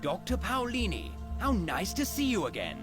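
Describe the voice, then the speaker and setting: An eccentric old professor in a sci-fi game. His voice is high and swoops wildly between notes, chummy and absent-minded, with music clearly playing behind. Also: huge pitch swings, moderate pace. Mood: jovial, befuddled. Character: eccentric, doddery, jovial old male professor.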